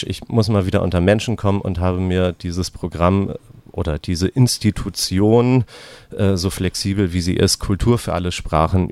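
A man's voice: deep voice, creaky, a little mellow, clean tone, no room sound. A man, a freelance writer, telling how he started a project, talking enthusiastically but with lots of hesitations. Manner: hesitant, many fillers, enthusiastic. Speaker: freelance author and project initiator. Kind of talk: casual interview talk.

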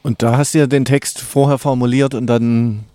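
A man's voice: medium pitch, level tone, normal speed, mellow, no room sound. A male interviewer asking questions casually, often restarting and rephrasing them midway.

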